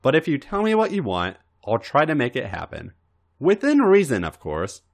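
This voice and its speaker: A man's voice: medium pitch, huge pitch swings, normal speed, vocal fry, voice pushed up, full character voice. Male reader as smug controlling lover in story: wry, articulate, teasing, strongly varied intonation.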